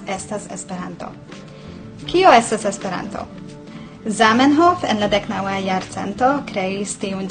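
A woman giving a clear, measured prepared statement in a played clip. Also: medium pitch. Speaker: clip speaker. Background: music.

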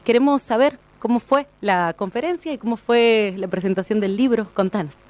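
A woman's voice: fairly high pitch, normal speed. Effusive woman radio host. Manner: warm, effusive introductions. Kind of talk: radio interview.

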